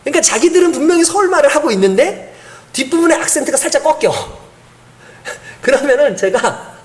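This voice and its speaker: A man's voice: very high voice, sing-song, moderate pace. A male pastor delivering an earnest, emphatic sermon, asking the congregation rhetorical questions and chuckling now and then.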